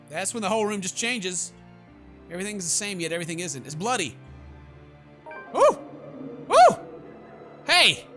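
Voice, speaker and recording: A man's voice: a little high, sharp tone. A young man hosting a gameplay video, reading story text aloud theatrically and throwing in jokey asides. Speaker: gaming video host. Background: game music.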